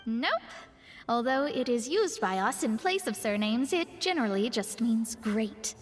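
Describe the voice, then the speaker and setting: A woman's voice: fairly high pitch, expressive, unhurried, big-room sound, faint music behind. A woman voicing a proud young female astrologist in a studio game, composed and a little haughty as she explains things. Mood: explaining.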